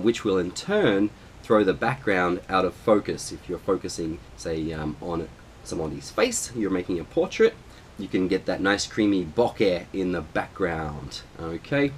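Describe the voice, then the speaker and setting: Deep-voiced man with a head cold. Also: a little hiss or hum. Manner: relaxed, lots of ums and uhs. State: nasal from a cold. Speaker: photography vlogger. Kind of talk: casual tutorial talk to camera.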